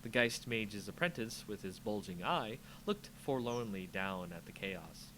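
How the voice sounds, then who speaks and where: A man's voice: on the low side, expressive, unhurried, pushed and bright, poor audio. A man reading a fantasy story aloud as a dramatic narrator, with faint noise behind him.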